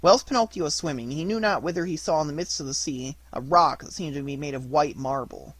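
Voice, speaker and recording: A man narrates a children's storybook in a fairly high voice. His delivery is scripted, deliberate and dramatic, and the recording is close and dry. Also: fairly bright tone, dry recording. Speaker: audiobook narrator.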